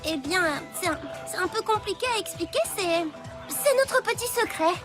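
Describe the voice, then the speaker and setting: A woman voicing an excitable, mischievous schoolchild in a French animated series, with a theatrical, exclamatory delivery. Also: very high voice, moderate pace, over music. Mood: flustered. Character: mischievous schoolchild, excitable, narrating schemes and mishaps.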